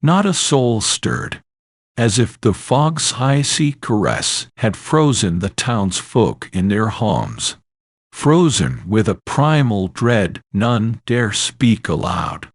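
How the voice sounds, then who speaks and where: A man delivers dramatic gothic horror narration at a very unhurried pace. His solemn, ominous voice rises and falls expressively, and the recording is close and dry. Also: fairly low pitch, very animated, dry recording. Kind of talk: dramatic scripted horror storytelling.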